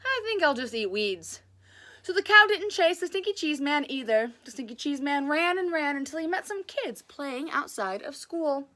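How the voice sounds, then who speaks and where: A woman reading aloud as a storyteller, casual and chatty, with an enthusiastic, expressive delivery. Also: sits high, normal speed, hardly any pauses.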